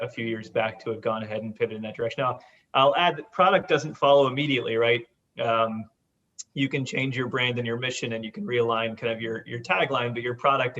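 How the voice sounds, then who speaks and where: Male founder, mid-pitched, restarts his words a lot; muffled recording. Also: fairly bright tone. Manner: rambling, many repeated words. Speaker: company founder. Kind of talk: panel discussion.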